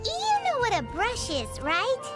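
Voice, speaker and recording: Tiny childlike game fairy: extremely high, squeaky, swooping delivery, chirpy and cheeky, music behind. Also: female voice, a little slow, exaggerated voice. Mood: chatty, eager. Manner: speaks of self in third person, animated. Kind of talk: animated video game voice acting.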